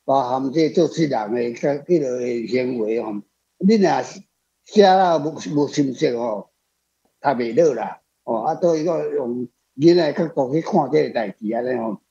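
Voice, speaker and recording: A man's voice: mid-range voice, animated, moderate pace, creaky, warm tone. An older man with a Taiwanese accent talking casually as a guest author, rambling and adding frequent tag particles. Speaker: author answering readers as a guest. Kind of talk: casual spoken discussion. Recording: slightly dull, lo-fi sound.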